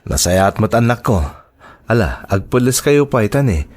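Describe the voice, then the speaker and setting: A man with an Ilocano accent acting a gentle, devoted father in an audio drama, tender and reassuring. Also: medium pitch, very animated, normal speed. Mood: cheerful. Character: gentle, devoted father of young children, wife working abroad.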